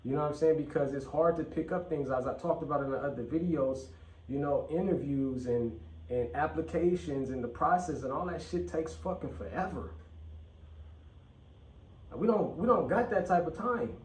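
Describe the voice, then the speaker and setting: A man's voice: a little high, moderate pace, a little mellow. A man hosting his own vlog, talking bluntly and profanely and repeating phrases for emphasis. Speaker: channel host. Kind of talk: casual vlog rant. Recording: echoey room, mic at a distance.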